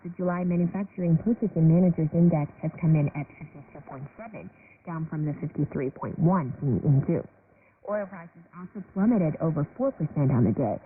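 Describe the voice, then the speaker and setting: A woman reading a scripted business news bulletin as a presenter, heard on shortwave radio. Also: lowish voice. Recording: noisy, narrowband shortwave radio broadcast.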